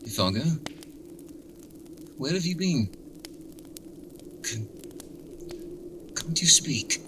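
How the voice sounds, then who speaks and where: A man's voice: on the high side, animated, drawn-out words, noisy background. An actor playing a worried young man, hesitant and earnest in his questions, in a radio horror drama. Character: worried, insistent young man on wilderness trip.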